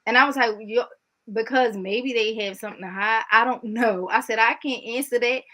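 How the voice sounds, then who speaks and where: A woman's voice: on the high side, moderate pace, sharp tone. A woman answering as an interview guest in an informal, candid way, repeating points for emphasis.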